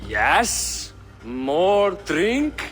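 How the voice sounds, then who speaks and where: A boozy, boastful king in a game cutscene drawls his words slowly, his somewhat high voice swinging up and down with jovial mockery. Faint game music plays softly behind him. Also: huge pitch swings, heavily acted. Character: boastful boozy middle-aged male king. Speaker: game character. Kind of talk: video game cutscene acting.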